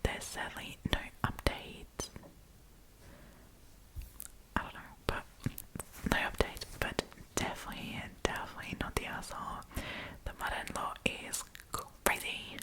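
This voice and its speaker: A woman whispering in a breathy, chatty, informal way as she hosts an ASMR storytime, reading aloud and commenting. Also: unhurried, long pauses, rough recording. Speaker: host of storytime series.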